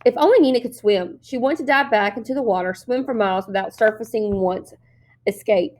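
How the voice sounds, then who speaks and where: A woman reading a novel aloud as an expressive storyteller, narrating the scenes. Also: on the high side, fairly quick. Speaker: story reader.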